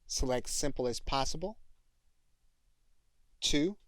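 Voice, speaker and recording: Guy doing a software tutorial, mid pitched voice, deliberate delivery, really dry sound. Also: lively intonation, dry recording. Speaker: software training instructor. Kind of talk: instructional screencast tutorial with dictated commands.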